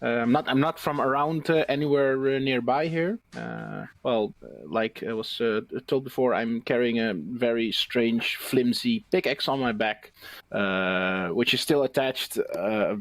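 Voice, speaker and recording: A man playing a tabletop roleplaying game, describing his character casually and haltingly. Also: mid-range voice, a bit bright, no echo.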